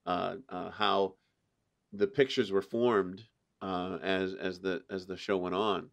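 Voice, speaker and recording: A man hosting a casual review discussion, talking in a halting way as he searches for words. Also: deep voice, level tone, creaky. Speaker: podcast host.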